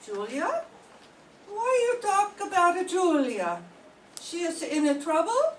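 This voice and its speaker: A woman's voice: very high-pitched, wildly swooping pitch, full character voice. Older woman playing a frightened immigrant widow in broken English. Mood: wary. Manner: halting broken English, pleading. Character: poor widowed immigrant mother, proud, frightened. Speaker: amateur radio drama performer. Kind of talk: live reading of a 1940s radio drama. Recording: echoey room, distant mic, faint noise.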